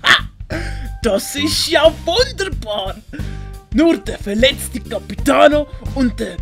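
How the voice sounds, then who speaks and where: A woman's voice: high voice, vocal fry, fairly bright tone, heavily acted. A woman voicing a sneaky, scheming male thief in an audiobook, gleeful and impatient with a theatrical, stealthy delivery. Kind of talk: dramatized audiobook character voice.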